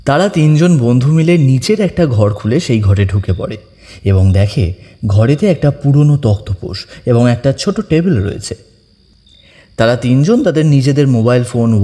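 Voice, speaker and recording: A man's voice: mid-range voice, animated, normal speed, dark tone. A man narrating a ghost story in dramatic, scripted style, with reverb on his voice.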